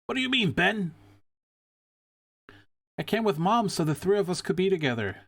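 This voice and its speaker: A man's voice: sits high, very animated, moderate pace, pitched above the performer's own voice, exaggerated voice. A man voicing an upset young boy from a video game, pleading with his father.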